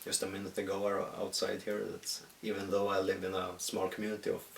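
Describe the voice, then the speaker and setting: A Swedish man with a deep, rough voice muses aloud in English, stopping often to find his words. The mic sits a short way off, so the room echoes around him. A faint hiss runs underneath. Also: monotone, distant mic. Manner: halting, thoughtful, many pauses. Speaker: musician. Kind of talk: reflective solo monologue. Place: at home in the countryside.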